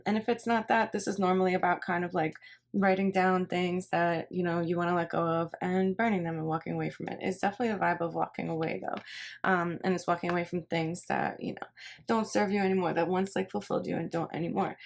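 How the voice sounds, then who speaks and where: A woman giving a casual tarot reading, thinking aloud in a rambling way and often repeating her phrases. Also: on the low side. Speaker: tarot reader. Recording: clear background noise, card shuffling and clicks.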